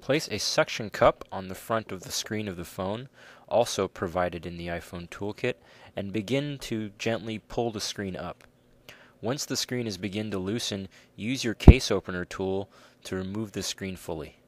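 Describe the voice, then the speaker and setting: Low-pitched man narrating repair instructions. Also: normal speed, no echo. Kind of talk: scripted step-by-step instructional narration.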